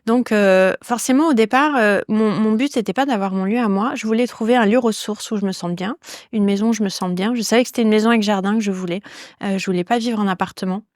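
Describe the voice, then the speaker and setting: A woman from Nice hosting a podcast, talking at length in an unhurried, casual way with many fillers and restarts. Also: mid-range voice, a little mellow, dry recording, clean studio sound. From Nice, France. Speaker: yoga teacher and podcast host. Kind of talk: casual solo podcast monologue.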